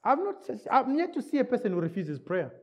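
A man's voice: very high voice, sing-song, a little fast. A man preaching a sermon in a church service in England, English not his first language, repeating key words and checking in with the listeners.

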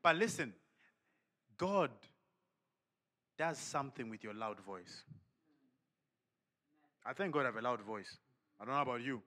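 A man preaching a sermon with lively energy, laughing and firing questions at the congregation. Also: mid-range voice, unhurried, long pauses.

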